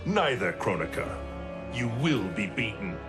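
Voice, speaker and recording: A man's voice: on the low side, big pitch swings, unhurried, music in the background. An actor voicing a stern, commanding male thunder god, with a game score behind. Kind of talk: video game cutscene acting. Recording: game cutscene with loud score.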